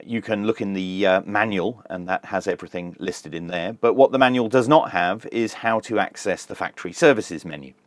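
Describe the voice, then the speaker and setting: A man's voice: low voice, brisk, hardly any pauses, no room sound. A man presenting a casual hands-on product review, plain-spoken and methodical, with dry humour.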